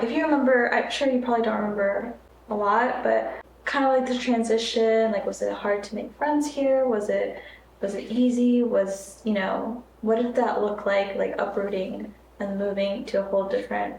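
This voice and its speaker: A young woman hosts a podcast, asking casual, loosely phrased questions and rephrasing as she goes. Her voice has a gravelly edge and rings in an echoey room. A faint noise sits underneath. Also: a little high, moderate pace. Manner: relaxed, loose questions with many likes and rephrasings. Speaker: podcast host. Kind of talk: casual interview conversation.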